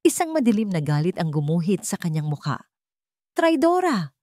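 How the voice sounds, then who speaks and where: Expressive woman narrator, close dry sound. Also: sits low, brisk, no echo. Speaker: story narrator. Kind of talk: scripted dramatic narration of a Tagalog story.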